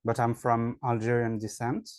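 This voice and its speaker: A man's voice: medium pitch, flat delivery, moderate pace, no echo, clean studio sound. A man with a French accent, an online English teacher, answering interview questions in a hesitant way with many fillers. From France.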